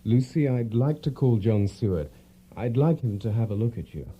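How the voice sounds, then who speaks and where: An actor playing a worried, tender Victorian gentleman in an audio drama, breathy, gentle and earnest. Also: mid-range voice, animated, normal speed. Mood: tender concern. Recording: dull, muffled recording.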